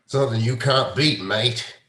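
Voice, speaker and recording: A man's voice: medium pitch, measured pace, fairly bright tone, natural voice. A man playing a crude, hot-headed gambler, swaggering and insulting in a drama table read. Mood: cocky. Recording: echoey room.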